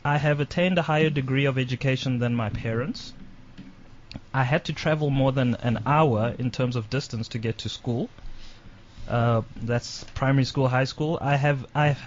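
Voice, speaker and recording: A man on a radio talk show, briskly reading out quiz questions. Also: medium pitch, slight background noise. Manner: brisk, reads questions aloud quickly. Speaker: guest leading a privilege quiz. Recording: dull lo-fi radio recording.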